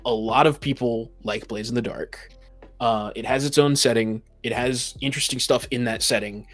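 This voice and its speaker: A man's voice: medium pitch, steady tone, moderate pace. Man chatting casually over faint music. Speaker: podcast host discussing tabletop games. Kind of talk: casual opinionated discussion.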